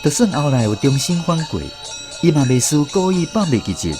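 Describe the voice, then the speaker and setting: A man's voice: on the high side, sing-song, fairly quick, music in the background. A man with a Taiwanese accent playing a grown grandson who narrates memories of his grandfather in a reflective, tender radio drama style. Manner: storytelling, reflective. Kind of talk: scripted first-person radio drama narration.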